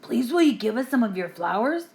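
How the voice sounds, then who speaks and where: A woman voicing three small, stubborn wolf cubs in a storybook, with high, loud chanted replies. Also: very animated, brisk, gravelly. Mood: pleading.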